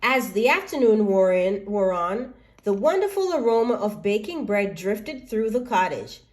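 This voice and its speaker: A woman reading a children's story aloud as an expressive narrator. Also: mid-range voice, normal speed.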